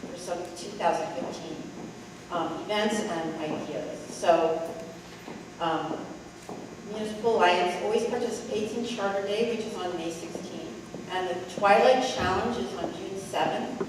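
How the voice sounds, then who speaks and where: A woman delivers a short liaison report at a public meeting, matter-of-fact and lowish in pitch. She is picked up from a distance, so the room's long reverb and background noise come through. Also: a little slow. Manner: plain, matter-of-fact, some ums. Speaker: board liaison giving a report. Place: school board meeting room. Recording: echoey room, distant mic, noise.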